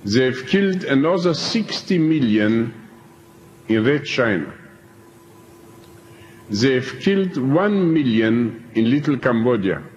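An older man, speaking slowly and emphatically as he recounts his prison experience to an audience. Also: medium pitch, big pitch swings, a little mellow, slight background noise. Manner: slow, deliberate, emphatic phrasing with pauses. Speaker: preacher telling his prison story. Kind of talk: slow spoken testimony to an audience. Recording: dull lo-fi archive tape in a reverberant hall.

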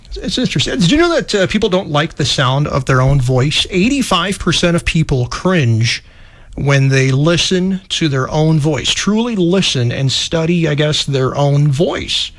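A man hosting a radio show with a raspy voice, chatting casually and rambling offhand between songs. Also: a little high, animated, moderate pace. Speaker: radio host. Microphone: headphones and studio mic.

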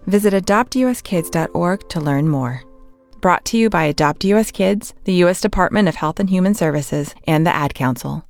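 A woman announcer reads a public service spot in a calm, measured way. Her voice sits on the low side, with soft music underneath.

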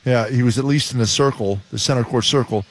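A man's voice: medium pitch, fast, slight background noise. Older man, rambling basketball analyst. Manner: rambling, restarts often. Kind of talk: postgame analysis chat.

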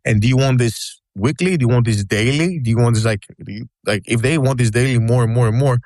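Greek-accented man, animated podcast talk, repeats for emphasis, dry close sound. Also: mid-range voice, fast talker, no room sound. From Greece. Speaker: podcast co-host. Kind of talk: casual chat.

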